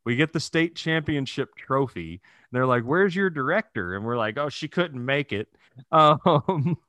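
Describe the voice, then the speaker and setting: Male podcaster, 30s, middle pitch, casual and profane joker, dry sound. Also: animated, measured pace, a bit bright, no echo. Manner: profane, jokey storytelling with frequent chuckling. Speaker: podcast co-host. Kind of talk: casual comedic chat.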